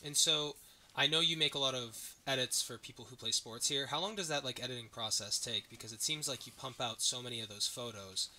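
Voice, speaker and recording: A man's voice: medium pitch, brisk, bright, forceful tone, a little hiss or hum. A young man, still a student, hosting a casual podcast interview and asking the questions. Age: teens or young adult, a student.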